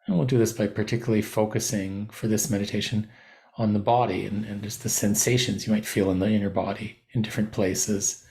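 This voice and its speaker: A man leading a guided body scan meditation as a teacher, giving gentle, unhurried instructions with pauses mid-phrase. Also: lowish voice, little pitch movement, gravelly.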